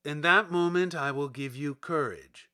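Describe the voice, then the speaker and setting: A man's voice: fairly high pitch, a little slow, sharp tone, clean tone. A man voicing a great and terrible wizard spirit of fire in a storybook reading, solemn and commanding. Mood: solemn, imperious.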